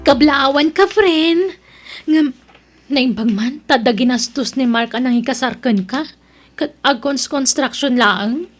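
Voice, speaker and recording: A woman's voice: high voice, unhurried, soft background music. A woman playing a boastful, gossipy young woman in an audio drama, haughty and belittling, with an Ilocano accent. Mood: smug. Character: boastful, gossipy young woman proud of her professional boyfriend.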